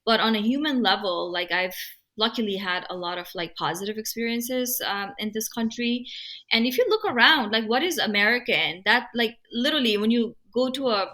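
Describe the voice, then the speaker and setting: Woman from Nepal, panel guest, sharp bright voice, lively and emphatic. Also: on the high side. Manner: animated and emphatic, quick to laugh at herself. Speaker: podcast panelist. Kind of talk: casual panel discussion.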